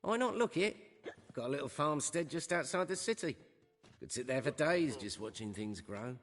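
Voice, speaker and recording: An actor playing a chatty, cheerful halfling farmer in a video game, with a rustic, talkative way of speaking. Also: male voice, fairly high pitch, lively intonation, moderate pace, big-room sound. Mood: chipper. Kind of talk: video game dialogue acting. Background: background music.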